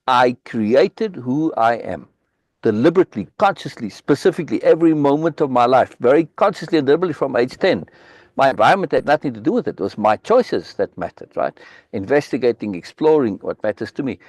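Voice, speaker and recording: Insistent, lively man. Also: medium pitch, a little fast, no room sound. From South Africa. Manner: emphatic, insistent, repeats key words. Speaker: host leading a book reading discussion. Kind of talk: animated live discussion. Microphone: video call.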